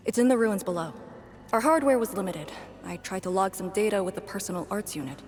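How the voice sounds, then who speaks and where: An actress playing a subdued, serious young female operative with a wry edge and a measured delivery in a video game. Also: medium pitch, darkish tone, close to an everyday voice. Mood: composed. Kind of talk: video game story dialogue.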